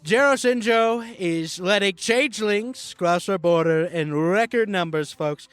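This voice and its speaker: A man's voice: sits high, unhurried, fairly bright tone, smooth, no echo, faint music behind. A man voicing a boastful, rambling populist presidential candidate in a parody campaign ad, full of folksy, repetitive asides. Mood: alarmist, scornful. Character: bragging, rambling male populist politician running for president.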